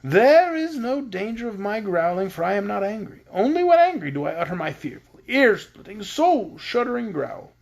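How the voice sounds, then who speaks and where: A woman voicing a big, proud, touchy beast in a storybook, with grand drawn-out boasting. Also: mid-range voice, very animated, gravelly, a little mellow, voice pushed up. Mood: boastful.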